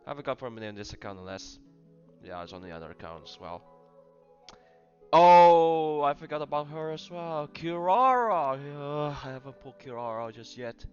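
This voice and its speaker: Rambling man with very lively pitch. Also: clean tone, dull audio, faint music behind. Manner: halting, rambling, often repeats phrases. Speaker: gamer commenting on his own play. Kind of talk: casual gameplay commentary.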